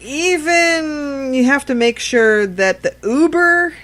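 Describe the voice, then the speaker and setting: A woman's voice: high-pitched, slow, a bit bright. A woman co-hosting a casual show, earnestly explaining safety tips at length. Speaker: podcast co-host. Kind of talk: casual chat.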